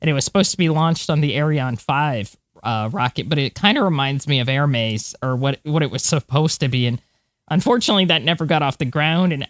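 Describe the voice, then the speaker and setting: A woman's voice: low-pitched, no room sound. A woman talks as a podcast co-host in a casual, enthusiastic style. She is chatty and informal. The recording is dry and free of room sound. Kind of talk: casual space news podcast chat.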